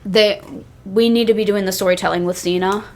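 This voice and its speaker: A woman with an average-pitched voice chats as a podcast host. She sounds earnest and conversational, often restarting and repeating phrases as she works through a point. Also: fairly even intonation, a little fast, darkish tone, a little hiss or hum. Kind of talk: casual wrestling discussion.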